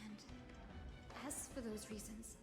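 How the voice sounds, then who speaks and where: A woman voicing an earnest, gentle young heroine in a video game, speaking politely and formally with resolve. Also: mid-range voice, steady tone, takes it slowly, vocal fry. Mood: resolute. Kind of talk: dramatic video game voice acting. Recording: echoing game audio with music.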